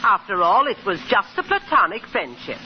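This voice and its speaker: A woman's voice: mid-range voice, sing-song, normal speed, close to an everyday voice. An actress playing a genteel, fussy, motherly older aunt with proper diction in a 1940s radio comedy. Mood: earnest. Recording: muffled hissy 1940s radio recording.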